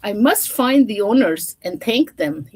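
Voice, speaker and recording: A woman voicing a gentle, polite, bewildered rich father from a children's storybook, wondering and questioning. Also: fairly high pitch, expressive, a little slow, breathy edge.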